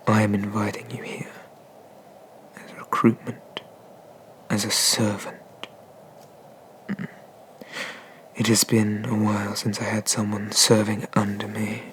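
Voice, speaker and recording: A man playing an ancient, haughty but merciful dragon god in an ASMR roleplay, speaking formally and deliberately, with breathy whispers. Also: fairly low pitch, a little hiss or hum, rough recording.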